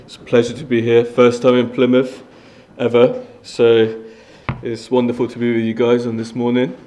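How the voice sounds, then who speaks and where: A man's voice: medium pitch, completely flat delivery, normal speed, warm tone, slight background noise. Young man from Iran, guest preacher, mid-range voice, earnest and conversational, restarts often. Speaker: guest speaker. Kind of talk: sermon with personal testimony.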